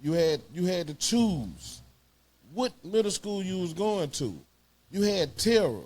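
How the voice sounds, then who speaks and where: Higher-pitched man telling stories. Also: big pitch swings, a little slow, darkish tone. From Houston, Texas. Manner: emphatic, slangy storytelling. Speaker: guest, high school alumnus. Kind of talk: casual reminiscing chat.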